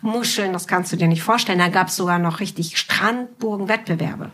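A woman's voice: on the low side, a little fast, fairly bright tone, slight background noise. Chatty woman hosting a casual interview. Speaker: podcast host and writer.

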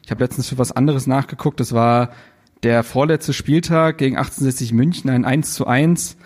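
A man's voice: medium pitch, a little fast, darkish tone. A young man from Berlin, a guest on a live stage panel, telling his story earnestly with a few fillers. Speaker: guest blogger. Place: theater stage.

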